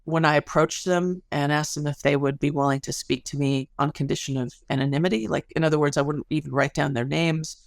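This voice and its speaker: Low, creaky-voiced woman telling research stories. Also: steady tone, brisk, hardly any pauses, no room sound, clean studio sound. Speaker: interview guest, academic researcher. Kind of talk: conversational interview, storytelling.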